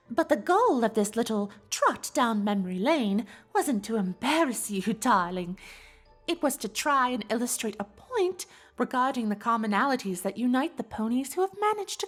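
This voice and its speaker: A refined female unicorn socialite from a cartoon fan drama. Her voice is high and sweeps dramatically up and down. She teases and gossips in a genteel, affected way, over music. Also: big pitch swings. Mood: knowing, teasing. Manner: affected, genteel, theatrical delivery with drawn-out words. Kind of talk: fan fiction audio drama acting.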